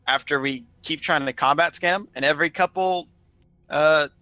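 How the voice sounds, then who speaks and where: A man casually telling gaming stories in an animated, emphatic way, swearing freely. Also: a little high, poor audio. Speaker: online gaming group member telling stories.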